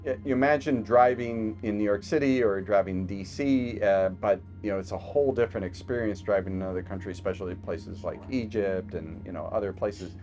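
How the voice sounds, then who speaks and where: A low-pitched man who is a physician rambles easily through his stories over a video call. He fills the gaps with ums and you-knows and laughs now and then, with faint music under him. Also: fairly quick, few pauses, a little mellow, muffled recording. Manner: chatty storyteller, frequent fillers and laughs. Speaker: physician guest. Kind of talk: casual remote podcast conversation.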